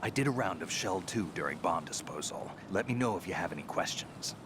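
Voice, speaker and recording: An actor playing a calm, capable veteran soldier in a video game, matter-of-fact and assured. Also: male voice, deep voice, fairly quick. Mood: steady, businesslike. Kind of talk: video game cutscene acting. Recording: noisy vehicle ambience under voice.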